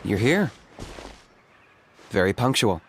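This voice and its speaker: A cool young hunter in a video game. His voice is on the low side, matter-of-fact and deadpan, with a little ambient noise underneath. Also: slow pace, heavily acted. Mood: dry. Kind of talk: video game character acting.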